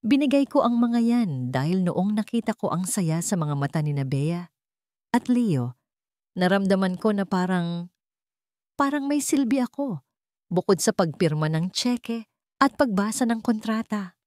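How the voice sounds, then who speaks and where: A woman's voice: medium pitch, big pitch swings, unhurried, pauses often, a little mellow, no room sound, studio quality, voice pushed up. Woman playing a heartfelt rich young CEO, earnest and teary, romance story. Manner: earnest, tearful.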